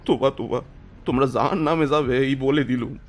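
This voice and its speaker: A man's voice: mid-range voice, steady tone, a little fast, soft background music, natural voice. A man playing a stubborn, hot-tempered poor villager in an audio story, agitated and blunt. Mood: furious.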